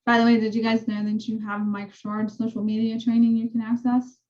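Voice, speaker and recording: Soft-voiced woman leading a team call. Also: on the high side, flat delivery, brisk, highs cut off. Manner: chatty, rambling explanations. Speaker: real estate team leader. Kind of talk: casual team meeting walkthrough.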